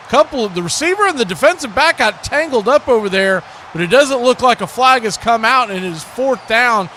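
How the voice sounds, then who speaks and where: A man's voice: high-pitched, bright, forceful tone, slight background noise. A man hosting a live football stream, mixing play-by-play commentary with casual chat in folksy, colloquial phrasing.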